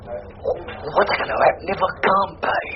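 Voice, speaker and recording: An actor playing a shifty small-time crook turned police informer in a radio drama, slangy, defensive and wheedling. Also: male voice, high voice, expressive, measured pace. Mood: wheedling, nervy. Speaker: witness. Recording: noisy, muffled radio drama recording.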